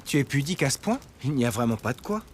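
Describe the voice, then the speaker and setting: An actor playing a gentle, teasing teenage noble boy in an animated romance dub, speaking softly and attentively. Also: mid-range voice, fast, slight background noise, natural voice. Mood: teasing, considerate.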